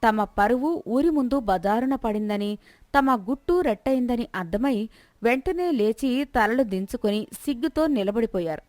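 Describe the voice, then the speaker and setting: A woman's voice: a little high, lively intonation, moderate pace, a little mellow, dry recording, clean studio sound. A woman narrating a scripted moral story in the manner of a storyteller who also acts out the characters.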